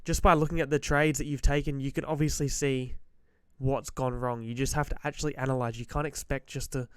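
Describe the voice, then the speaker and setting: A man who is a bit sick and slightly hoarse, giving blunt, emphatic trading-psychology advice and clearing his throat often. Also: mid-range voice, steady tone, fairly quick, no echo. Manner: direct, emphatic, repeats key points. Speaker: forex trader giving advice. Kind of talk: motivational trading-psychology talk to camera.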